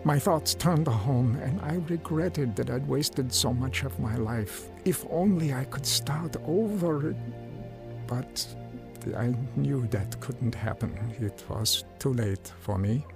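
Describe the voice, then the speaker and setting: A despairing, gravelly down-and-out man. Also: mid-range voice, animated, slow, music in the background. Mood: bleak, regretful. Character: despairing down-and-out man telling his life story. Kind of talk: first-person radio drama narration and acting.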